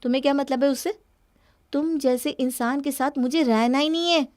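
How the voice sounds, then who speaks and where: A woman's voice: high voice, moderate pace, darkish tone, voice pushed up, close to an everyday voice. A woman voicing a scornful, defiant young wife in a cartoon story, haughty and dismissive.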